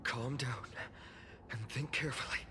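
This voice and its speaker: An actor playing an earnest young male leader in a video game, speaking in measured, resolute speeches. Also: medium pitch, steady tone, noisy background, natural voice. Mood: calm, reassuring. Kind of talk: video game story voice acting. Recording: music behind the voice. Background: game music.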